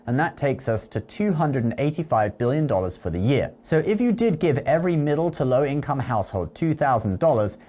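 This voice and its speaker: A man hosts an online money explainer in a medium-pitched voice. He talks directly to the viewer in an explanatory manner, punctuating his points with quick questions to check they are following. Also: darkish tone. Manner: explanatory, checks in with "okay?" and "all right?". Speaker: finance news video host. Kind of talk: explainer commentary to camera with sponsor read.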